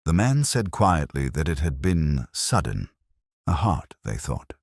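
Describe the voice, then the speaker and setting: Very deep, brooding retired detective played by a man. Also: sing-song, vocal fry, dry recording, clean studio sound, heavily acted. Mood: wistful, brooding. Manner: measured, wistful, erudite, wry. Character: melancholy older male retired Oxford police inspector, reflective narrator. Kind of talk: literary first-person detective drama monologue.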